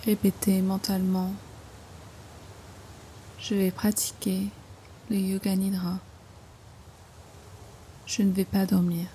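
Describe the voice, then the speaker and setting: A woman guiding a yoga nidra relaxation in slow instructions, with hushed, breathy phrase endings and an unhurried manner. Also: mid-range voice, completely flat delivery, slight background noise, poor audio. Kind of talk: guided meditation, slow soothing instruction.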